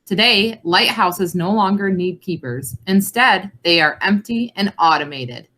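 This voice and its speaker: A woman's voice: medium pitch, lively intonation, measured pace, sharp tone. A woman reading a children's nonfiction book aloud as a read-along presenter.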